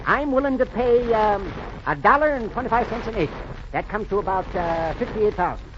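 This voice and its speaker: Noisy, dull old radio sound frames an eager, evasive businessman. He hems and haws in a high, blustery voice while bargaining. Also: expressive, moderate pace. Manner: blustery, hemming and hawing. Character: eager, cagey American oil businessman driving a bargain. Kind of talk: 1940s radio acting.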